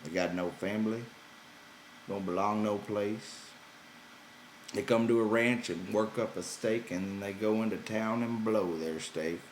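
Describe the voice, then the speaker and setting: Man acting a gruff, weary slow-talking ranch hand. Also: fairly low pitch, echoey room, slight background noise, close to an everyday voice, rough recording. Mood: rhythmic, reciting. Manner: gruff, exasperated, folksy ungrammatical speech. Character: gruff, weary, irritable male ranch hand looking after companion.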